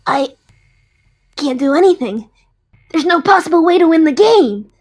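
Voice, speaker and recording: A woman's voice: sits high, expressive, normal speed. A woman playing a childish, sulky dragon in an audio drama, with a whiny, dramatic delivery. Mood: flustered, defeated. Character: childish card-playing dragon, hungry, sulky, easily flustered.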